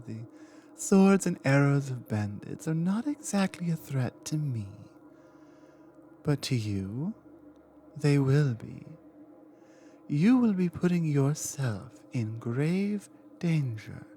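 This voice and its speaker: A woman's voice: low voice, heavily acted. A woman voicing an ancient dominant dragon queen. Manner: measured, grave, dipping into soft whispers. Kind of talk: ASMR roleplay audio.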